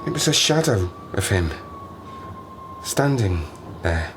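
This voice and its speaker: A man's voice: fairly low pitch, sing-song. Man acting a haunted, swooping-voiced Arctic survivor. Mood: uneasy, haunted. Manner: wry, halting, rambling, increasingly agitated. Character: stranded Arctic survivor, haunted, sardonic man unravelling with fear. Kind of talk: dramatic audio play monologue. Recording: noisy ambience behind the voice.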